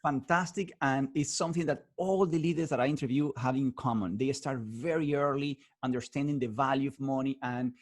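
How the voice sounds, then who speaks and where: Husky, eager interviewer with English. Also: male voice, medium pitch, a little fast, few pauses. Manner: enthusiastic, non-native English phrasing, repeats words. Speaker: interviewer, host. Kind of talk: interview host chat.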